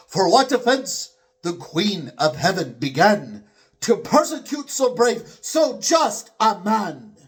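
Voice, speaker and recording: A man giving a dramatic, declamatory recitation of classical epic poetry, with drawn-out pauses between phrases. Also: sits high. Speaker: poetry reader.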